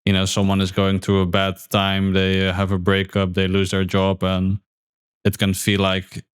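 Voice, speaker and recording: A man's voice: low voice, monotone, moderate pace, clean tone, dry recording, studio quality. A man hosting a podcast, speaking thoughtfully with many fillers and repeated words. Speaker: podcast host. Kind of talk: casual conversation.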